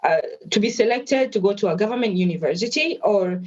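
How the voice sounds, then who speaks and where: A woman, telling her life story as an interview guest in a reflective, measured way. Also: mid-range voice, lively intonation, a little mellow, dull audio. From Tanzania.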